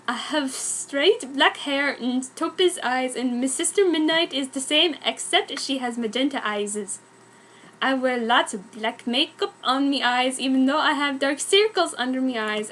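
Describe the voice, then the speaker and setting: Woman playing a high-voiced bratty goth vampire girl. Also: fairly bright tone. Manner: overdramatic, self-important, bratty. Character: melodramatic, vain, defiant teenage goth vampire girl.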